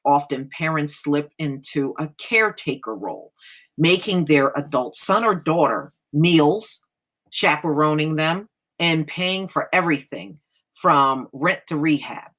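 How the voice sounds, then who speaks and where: A woman's voice: sits low, a bit bright. An American woman hosting, reading an article aloud and adding plain-spoken casual commentary.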